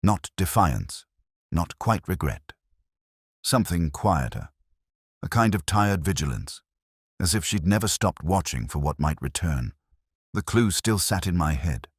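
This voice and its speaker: A man playing an ageing, wry, brooding detective inspector who narrates a scripted mystery in measured, literary phrases. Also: low-pitched, very animated, husky, no room sound, clean studio sound, full character voice. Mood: brooding, reflective.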